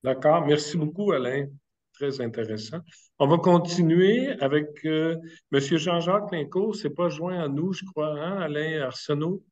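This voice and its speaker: A male moderator with a Québécois accent, polite and brisk, introducing speakers. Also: a little high, darkish tone, lo-fi sound. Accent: Québécois French. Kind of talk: moderating a webinar.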